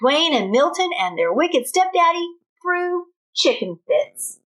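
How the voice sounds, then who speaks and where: A woman reads a picture book aloud for young children. Her voice is high and animated, and she takes it slowly, like a teacher. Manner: expressive, addressing young children with questions. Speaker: kindergarten teacher reading aloud. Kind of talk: children's storybook read-aloud.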